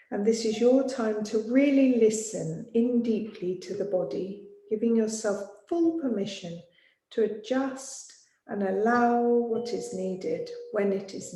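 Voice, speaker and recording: Slow, soothing woman guiding sleep meditation. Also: a little high, slight background noise. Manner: gentle, soothing, unhurried with long pauses. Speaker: yoga nidra teacher. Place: small studio. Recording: roomy echo, music behind voice.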